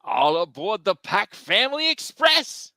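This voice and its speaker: A performer doing a brash cartoon Pac-Man dad who boasts and exclaims. The voice swoops hugely up and down, bright and forceful, at an unhurried pace. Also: medium pitch, big pitch swings, no room sound, studio quality, full character voice. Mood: jovial. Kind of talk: live cartoon script table read.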